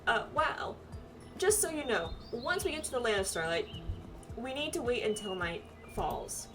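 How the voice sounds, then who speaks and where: An earnest, dutiful young pilgrim from a fantasy game, read aloud by a woman. The delivery is measured and stern in a fairly high voice, with music behind and a little distance from the mic. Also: lively intonation, lightly acted. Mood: explaining.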